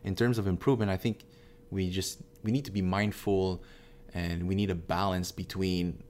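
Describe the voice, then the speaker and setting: Low-voiced hesitant young man. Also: fairly even intonation, normal speed, a little mellow, a little hiss or hum. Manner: hesitant, thoughtful, many restarts. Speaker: conversation partner. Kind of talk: casual discussion.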